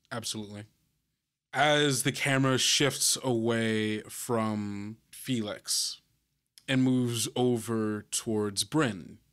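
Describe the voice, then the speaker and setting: A man acting as game master, narrating a tabletop game in a measured, scene-setting manner. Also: on the low side, a bit bright.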